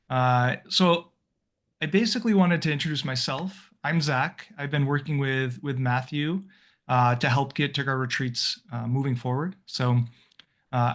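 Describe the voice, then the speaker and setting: A man's voice: medium pitch, fairly bright tone. A man hosting a volunteer video call as coordinator, talking in a friendly, rambling way with many fillers and restarts. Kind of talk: casual video-call welcome.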